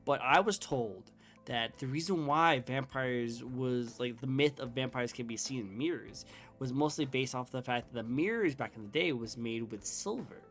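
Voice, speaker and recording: A man's voice: medium pitch, moderate pace, fairly bright tone. A young man hosting a casual interview, talking with enthusiasm, many fillers and restarts as he rambles through ideas. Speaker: interview host. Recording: faint music underneath.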